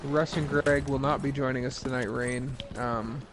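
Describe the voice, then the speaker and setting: A man's voice: mid-range voice, fairly even intonation, normal speed, noisy background. A man chatting casually with friends as a fellow player on a gaming stream, with a dry, joking manner. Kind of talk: casual chat.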